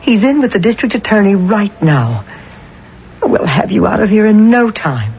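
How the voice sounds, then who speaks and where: An American actress playing an anxious, devoted middle-aged wife in a radio drama, speaking in an earnest, tender, hesitant way. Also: female voice, medium pitch, big pitch swings, normal speed, slightly rough, heavily acted. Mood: reassuring, careful. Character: anxious, devoted wife tenderly soothing her accused husband. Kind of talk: old-time radio drama acting. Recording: muffled, noisy 1970s radio broadcast.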